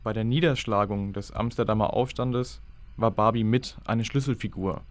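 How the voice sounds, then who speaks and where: A man's voice: fairly low pitch, moderate pace. A man speaking as a historical expert, explaining in factual, formal, long sentences. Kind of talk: explanatory documentary commentary.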